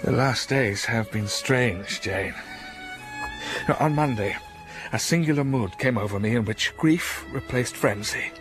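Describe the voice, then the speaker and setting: A man playing a brooding blind older gentleman. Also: medium pitch, a little slow, music in the background, natural voice, low-quality recording. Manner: intense, impassioned. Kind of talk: radio drama acting.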